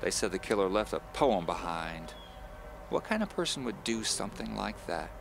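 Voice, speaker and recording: A man's voice: lowish voice, big pitch swings, normal speed, creaky, background noise. A man voicing a cheerful, chatty, nosy woman of about seventy in an audio drama, with a folksy, fussing manner. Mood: fretful.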